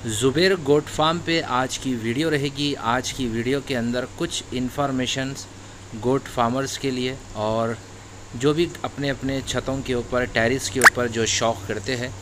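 A man narrates a casual farm how-to from behind a phone camera, in a friendly, instructive voice at an ordinary middle pitch. Filmed inside a goat shed, with a faint hum underneath. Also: steady tone, unhurried. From Hyderabad, India. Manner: friendly instructional talk with religious greetings. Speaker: goat farmer and channel host.

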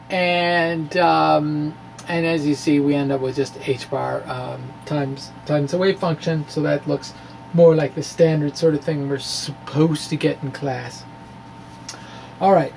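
A middle-aged man explaining physics informally to camera in a halting, rambling, quirky and jokey manner. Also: sits high, poor audio. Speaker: science blogger and presenter. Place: small indoor room with bookshelves. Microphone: microphone on a stand in front of him. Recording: clear background room noise.

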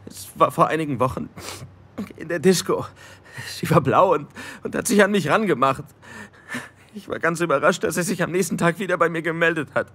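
High, whining, cowardly young crook. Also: male voice, fairly quick, fairly bright tone. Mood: defensive. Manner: whiny, nervous. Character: frightened young male small-time crook, whining and cowardly. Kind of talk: German audio drama acting.

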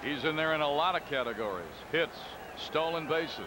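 A man calls a baseball game play by play, his voice sitting high and light. He moves calmly through counts and stats, and a loud stadium crowd hums underneath him. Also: moderate pace. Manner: calm, conversational, reading stats. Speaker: play-by-play announcer. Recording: broadcast booth over loud crowd noise. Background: stadium crowd ambience.

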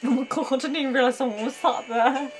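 Young woman reacting to a show, high lively voice, giggly, loud music behind. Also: quick pace. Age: 20s. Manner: giggly, exclamatory. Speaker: reaction video co-host. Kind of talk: casual reaction chat. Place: small furnished room indoors.

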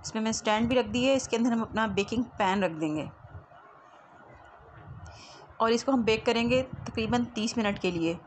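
Higher-pitched woman, noisy recording. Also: brisk. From Pakistan. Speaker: home cooking show host. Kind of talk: casual recipe voice-over. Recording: clearly noisy homemade voice-over recording.

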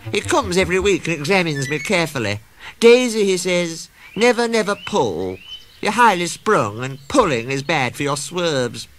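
Man voicing a high, haughty lady diesel engine. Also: very animated, normal speed, fairly bright tone, soft background music. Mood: smug. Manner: affected, self-important, indignant. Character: vain, haughty, cheerful female diesel rail car.

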